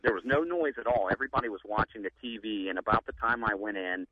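A man calling in to a radio show, speaking haltingly with many fillers. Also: on the low side, husky, dry recording, lo-fi sound. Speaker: caller. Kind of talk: call-in radio talk.

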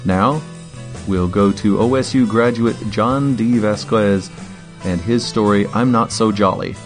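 A man gives scripted introductions in the role of program announcer. His voice is on the low side, with light guitar music playing behind him. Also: a little slow. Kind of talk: scripted radio-style introductions. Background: light plucked guitar music.

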